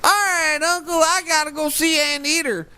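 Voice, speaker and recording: A woman acts out a young nephew in a cartoon comedy, with a very high voice. He is easygoing, teasing and slangy. Clear noise and clicks sit behind the lines. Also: fairly even intonation, moderate pace, natural voice. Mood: casual. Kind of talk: scripted animated comedy acting.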